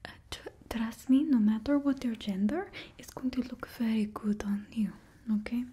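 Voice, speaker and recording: A woman's voice: on the high side, normal speed, mellow, slightly rough. A young woman voicing a bossy female stylist to supervillains with a put-on Russian accent, whispering blunt remarks close to the mic. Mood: whispery. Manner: blunt and condescending. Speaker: ASMR creator. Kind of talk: ASMR roleplay. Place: indoor studio with painted backdrop. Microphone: close ASMR mic.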